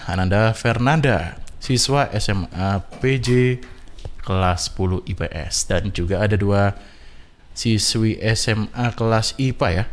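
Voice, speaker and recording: Male radio host. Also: medium pitch. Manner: switches between Indonesian and simple English, chatty. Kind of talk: bilingual radio talk show hosting. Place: radio studio.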